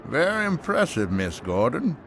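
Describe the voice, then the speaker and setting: A man's voice: on the high side, big pitch swings, normal speed, fairly bright tone, a little hiss or hum. A man playing a politely dismissive, condescending federal agent in a motion comic. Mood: patronizing. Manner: smooth-talking, patronizing. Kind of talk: dramatic motion comic dialogue. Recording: music behind the voice.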